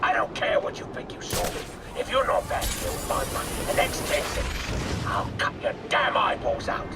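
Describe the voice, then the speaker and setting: An actor playing a cruel, bullying British gangster boss in a video game, mocking, menacing and theatrical. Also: male voice, medium pitch, wildly swooping pitch, normal speed, recorded from a distance, heavy background noise. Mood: menacing, sarcastic.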